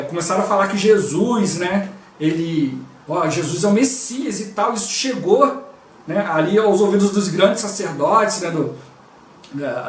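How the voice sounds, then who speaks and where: Man, Brazilian Portuguese accent, devotional preacher, chatty with frequent invocations, far from the mic, echoey room. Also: high-pitched. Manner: conversational, constantly interjects "O Lord Jesus" and "né". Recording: roomy and distant from the mic.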